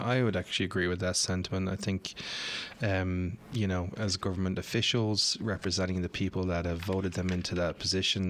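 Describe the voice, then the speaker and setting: A man's voice: deep voice, slight background noise. A man with an Irish accent, talking as a guest on a talk show, hesitant and full of fillers and restarts. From Ireland. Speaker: guest commentator.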